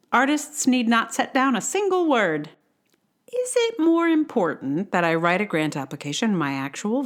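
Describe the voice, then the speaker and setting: A woman reading a scripted personal essay in a wry, candid way with measured emphasis. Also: fairly low pitch. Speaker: writer and podcaster reading an essay.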